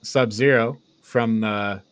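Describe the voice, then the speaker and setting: A man's voice: on the low side, fairly even intonation, slow pace, fairly bright tone. A man co-hosting a podcast, recapping a film in casual conversation. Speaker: podcast co-host. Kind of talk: casual chat, movie recap.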